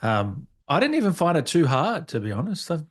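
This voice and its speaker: A man's voice: fairly high pitch, sing-song, fairly quick. A man hosting a casual gaming interview, speaking in an informal, blokey and agreeable way. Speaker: host, interviewer.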